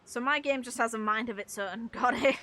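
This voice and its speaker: Bright-voiced young woman chatting on stream. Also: a little high, brisk, slight background noise. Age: young adult. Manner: informal, rambling, mild swearing. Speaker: game streamer. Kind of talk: casual livestream chat with viewers.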